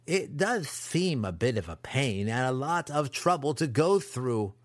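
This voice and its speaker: A man voicing a sly, cruel young male dark elf apprentice in an audiobook, taunting as he schemes. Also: mid-range voice, big pitch swings, unhurried, no echo. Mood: matter-of-fact menace. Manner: taunting, matter-of-fact scheming. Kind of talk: audiobook character acting.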